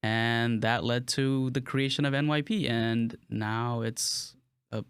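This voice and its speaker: A man's voice: medium pitch, fairly even intonation, takes it slowly, clean tone, no echo, clean studio sound. A young man chatting as a guest on a podcast, easygoing and jokey, laughing often. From Nepal, raised in Texas. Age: young adult. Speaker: guest, community group founder. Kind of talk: casual chat.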